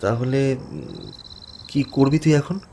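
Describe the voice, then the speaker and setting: An actor playing a doubtful young sidekick in an audio horror drama, questioning and unsure. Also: male voice, mid-range voice, takes it slowly, noisy background, close to an everyday voice. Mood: puzzled, anxious. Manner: questioning, uncertain. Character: puzzled young male friend, doubtful sidekick.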